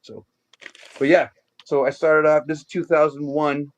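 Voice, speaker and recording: A man's voice: a little high, level tone, moderate pace, highs cut off. A middle-aged man from the Boston area with a Boston accent, a guest in a casual chat who rambles with many restarts and fillers. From Boston area, Massachusetts.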